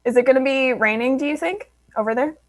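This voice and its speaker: Friendly, upbeat woman interviewer, higher voice, dry close sound. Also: fast, no echo. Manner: upbeat and friendly, short affirmations. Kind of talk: casual video call interview. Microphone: video call.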